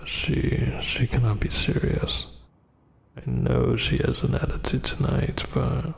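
A brooding male vampire boyfriend acted by a man in an ASMR roleplay. His voice is heavily fried and very husky, close to a whisper. He speaks slowly and haltingly, with irritated menace. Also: medium pitch, level tone, heavily acted, rough recording. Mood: exasperated. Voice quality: breathy, husky near-whisper. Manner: halting, tense, scolding.

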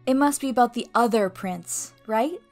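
A woman's voice: a little high, moderate pace, natural voice. A woman voicing a teasing, gossipy teenage schoolgirl in a manga dub, knowing and matter-of-fact. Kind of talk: manga fandub acting. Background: music.

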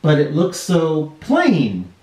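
A man voicing a polite, earnest, timid little hermit crab from a children's storybook, gentle and expressive. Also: on the high side, slow, voice pushed up, heavily acted. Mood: admiring, polite. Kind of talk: children's storybook character reading. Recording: roomy home sound, distant mic.

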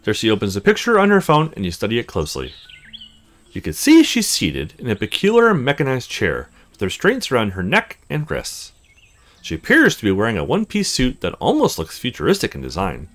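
A man's voice: medium pitch, wildly swooping pitch, fairly quick. A man reading a visual novel story aloud as the host of a let's play. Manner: acts out dialogue casually.

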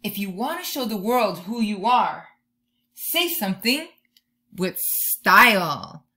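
A woman in her thirties doing an expressive children's read-aloud, drawing out key words like a teacher talking to young listeners. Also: mid-range voice, slow. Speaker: teacher reading a picture book to children. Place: bedroom or room at home, indoors.

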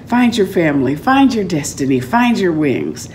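A woman's voice: on the low side, very animated, moderate pace, a little mellow, a little hiss or hum. An older woman voicing a loving mother hen, firm and encouraging, in a storybook read-aloud. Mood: tender, firm. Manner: encouraging, emphatic.

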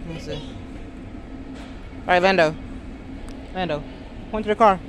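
A woman's voice: lowish voice, normal speed. A woman vlogging casually while shopping, talking with lots of ums and hesitations. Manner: chatty, hesitant with many ums. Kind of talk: casual family vlog chat. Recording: noisy phone recording.

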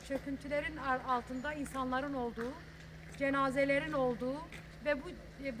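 A woman in her thirties giving an earnest press statement outdoors in front of rubble, with halting restarts. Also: high voice, fairly bright tone, slight background noise.

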